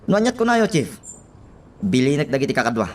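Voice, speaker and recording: An actor playing a dutiful, eager subordinate officer in a radio drama. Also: male voice, mid-range voice, sing-song, fast, darkish tone, natural voice. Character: dutiful, eager male subordinate reporting to his chief.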